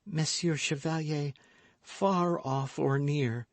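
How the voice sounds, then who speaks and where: A man's voice: a little high, fairly even intonation, slow, no room sound, pitched above the performer's own voice. A man voicing a frightened, lovestruck young maidservant in an audiobook, with a pleading, devoted manner. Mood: devoted, wistful. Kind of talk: audiobook character acting.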